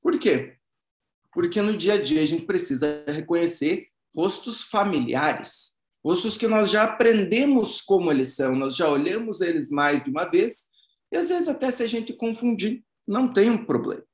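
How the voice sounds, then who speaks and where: Casting note: male psychologist lecturer, higher voice, relaxed and chatty over a video call, echoey room. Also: moderate pace. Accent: non-Bahian Brazilian Portuguese. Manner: informal, conversational, frequent fillers and restarts. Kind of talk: online lecture.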